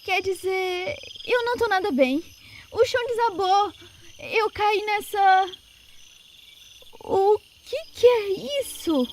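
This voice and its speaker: A woman acting in an audio drama as a dazed, hurt young survivor, halting and strained, heard at a distance over background noise. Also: extremely high pitch. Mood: shaken, pained.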